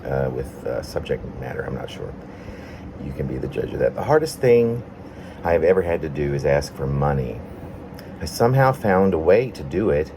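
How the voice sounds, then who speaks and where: A man from Arkansas, a poet presenting and reading his own autobiographical work in an unhurried, personal way. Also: sits low, background noise. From Arkansas, United States. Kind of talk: casual introduction, then reading aloud autobiographical prose poem.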